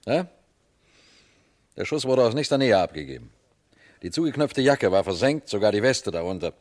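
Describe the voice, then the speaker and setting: A low male voice plays a shrewd police detective in a radio crime drama. He speaks deliberately and pointedly, unhurried as he lays out his case and turns directly to his listeners. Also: very animated, darkish tone. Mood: insistent, accusing. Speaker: detective and narrator. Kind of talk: German radio crime drama acting and narration.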